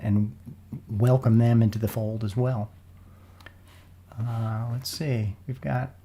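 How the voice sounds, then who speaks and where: A man's voice: mid-range voice, mellow. Froggy-voiced man hosting a casual podcast. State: froggy, getting over a cold. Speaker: podcast host. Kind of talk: casual sponsor interview podcast.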